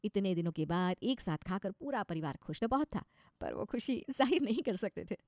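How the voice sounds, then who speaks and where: Woman narrator reading a cartoon moral story, quick pace, dry close studio sound. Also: medium pitch, expressive, darkish tone, dry recording.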